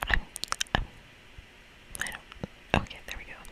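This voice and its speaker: A host whispering softly and chattily through an ASMR video game playthrough, speaking without hurry. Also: measured pace.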